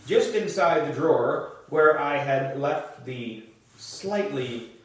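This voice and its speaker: Echoey, slow-paced man reading aloud. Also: a little high, expressive. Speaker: volunteer reader for a book club. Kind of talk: children's book read-aloud narration. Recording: distant mic in echoey room.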